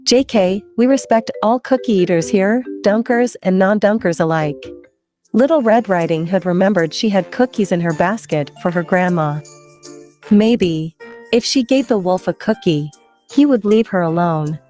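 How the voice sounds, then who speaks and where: A woman's voice: medium pitch, lively intonation, moderate pace, darkish tone, soft background music. A woman narrating an interactive children's story, playful and conversational, speaking directly to the kids.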